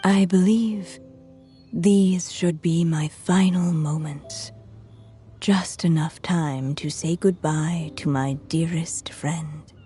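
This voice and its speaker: A woman voicing a gentle, fading storyteller in a video game, soft and hushed. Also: sits low, fairly even intonation, takes it slowly, soft background music. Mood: wistful.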